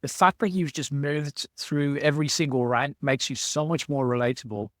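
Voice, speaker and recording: A man's voice: mid-range voice, steady tone, normal speed, a bit bright, no echo, studio quality. A man hosting a podcast, asking conversational interview questions in an encouraging, informal way. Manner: encouraging, casual, informal phrasing.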